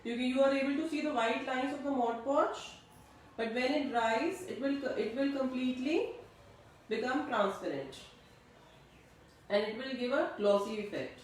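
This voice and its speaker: A woman giving a live online craft class, explaining steps slowly and checking that attendees can hear her. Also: high-pitched, a little hiss or hum. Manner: slow instructional, checks audibility with attendees. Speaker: craft teacher. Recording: distant echoey room mic.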